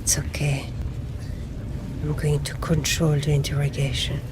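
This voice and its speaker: A woman playing a game in character, giving deliberate, thoughtful role-play commentary. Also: deep voice, little pitch movement. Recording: clearly noisy background.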